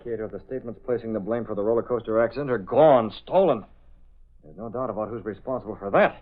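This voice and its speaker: An actor playing a resolute newspaper publisher, with an American accent and emphatic, authoritative old-time radio delivery. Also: male voice, fairly low pitch, quick pace, close to an everyday voice. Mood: grave, determined. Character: resolute, commanding young male newspaper publisher and crusader. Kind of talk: 1930s-40s radio acting. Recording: tinny band-limited vintage radio recording.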